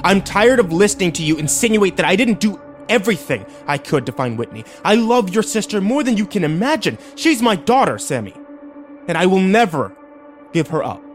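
A man's voice: mid-range voice, moderate pace, a little mellow, lightly acted. A man playing a middle-aged sheriff and father in a dramatic story, stern, weary, firm and authoritative. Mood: angry, forceful. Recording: music behind.

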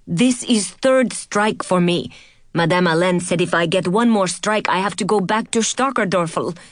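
A woman's voice: fairly low pitch, moderate pace, no room sound, studio quality, close to an everyday voice. A woman voicing a desperate, tearful schoolboy prince who pleads in stiff, foreign phrasing.

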